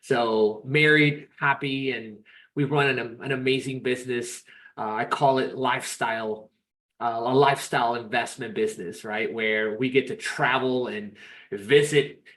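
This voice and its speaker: A man's voice: medium pitch, steady tone, measured pace, a bit bright. A man from Peru, in his thirties, chatting as a podcast guest in an upbeat, rambling way with many restarts. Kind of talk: casual interview chat.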